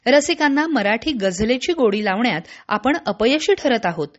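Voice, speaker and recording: A woman reading a scripted regional radio news bulletin in a formal, measured way. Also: fairly high pitch, animated, muffled recording.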